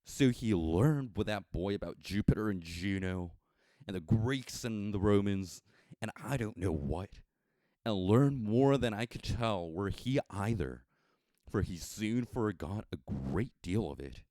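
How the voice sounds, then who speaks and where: An American man narrating a story from a script in a measured, expressive storytelling manner with dramatic emphasis. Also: on the low side, dry recording. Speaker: audiobook narrator.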